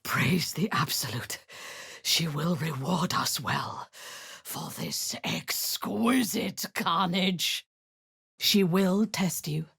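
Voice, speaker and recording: A woman's voice: lowish voice, slow pace, gravelly, fairly bright tone, husky, no echo, studio quality, full character voice. An actress voicing a cruel, zealous female warlord in a video game, giving orders in a cold, commanding tone with hissed half-whispers. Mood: menacing.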